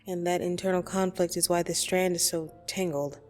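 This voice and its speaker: A woman playing a blunt, teasing yet soothing dream demon in an ASMR roleplay, speaking deliberately and intimately with gentle condescension. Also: on the low side, flat delivery, natural voice. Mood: soothing, concerned. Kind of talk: ASMR roleplay audio drama. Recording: soft music behind the voice. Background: soft meditation music.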